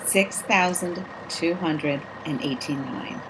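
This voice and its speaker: Stern, low-voiced female fighter acted by a woman. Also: slow, a bit bright, slight background noise, rough recording. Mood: stern, formal. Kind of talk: stage drama, declaimed lines. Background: music.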